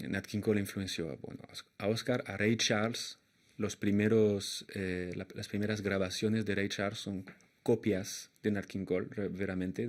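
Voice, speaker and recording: A young man with a slight French accent, a jazz pianist answering interview questions in a hesitant way, often pausing and restarting as he looks for words. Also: on the low side, a little mellow. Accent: slight French accent in Spanish. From Argentina and France.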